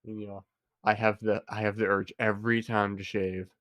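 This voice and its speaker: Low-voiced young man with a head cold. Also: level tone, normal speed, a bit bright, no echo. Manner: joking, teasing, rambling with many fillers. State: stuffy head cold, sniffly and nasal. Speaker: podcast co-host. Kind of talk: casual chat. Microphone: headset.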